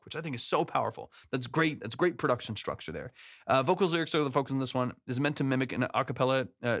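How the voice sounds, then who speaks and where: A man's voice: medium pitch, fairly bright tone. A man, a music producer, giving enthusiastic feedback on a song during a casual livestream, praising it often and restarting his sentences.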